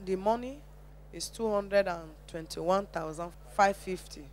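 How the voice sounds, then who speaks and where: A woman's voice: medium pitch, measured pace, a little mellow, slight background noise. Nigerian woman giving earnest religious testimony. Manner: earnest, devout, emphatic phrasing. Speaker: church member giving testimony.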